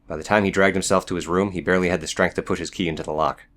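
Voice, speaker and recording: A man's voice: low voice, steady tone, rapid-fire, fairly bright tone. A man reading scripted fiction as the narrator of an audiobook.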